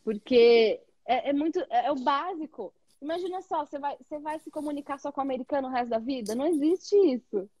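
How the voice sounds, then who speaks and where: A woman's voice: high voice, expressive, fairly quick, few pauses, mellow, dry recording. A Brazilian woman with a Brazilian Portuguese accent, an English teacher chatting animatedly with a guest and using lots of tag questions. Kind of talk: casual conversation.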